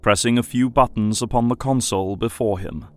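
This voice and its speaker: A man's voice: mid-range voice, a little fast, over loud music. A man narrating an audio drama in a scripted, dramatic storytelling style.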